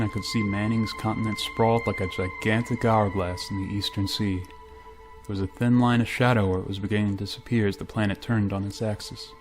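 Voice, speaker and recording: Low-voiced, grave spaceship pilot played by a man. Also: natural voice. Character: weary, stoic spaceship pilot and haunted narrator. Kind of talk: 1950s science-fiction radio drama acting.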